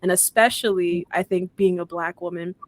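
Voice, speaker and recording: A woman's voice: fairly low pitch, steady tone, moderate pace, no room sound. Effusive young woman chatting, dry close sound. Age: young adult. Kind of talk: casual chat.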